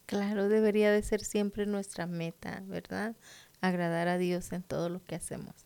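A woman's voice: medium pitch, flat delivery, moderate pace, darkish tone, clear, resonant tone. A woman in a conversational devotional discussion, speaking in an agreeable, affirming way.

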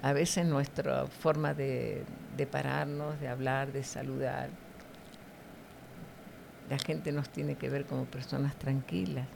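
Low, very creaky-voiced woman. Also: flat delivery, takes it slowly, noisy background. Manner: didactic, with short tag questions seeking agreement. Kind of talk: devotional teaching in conversation.

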